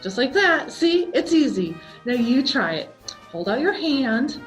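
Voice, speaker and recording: Woman as a manic, booming circus clown, high-pitched. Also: sing-song, a little slow, faint music behind, rough recording. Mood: playful coaxing. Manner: theatrical showman announcing, mock-cheerful.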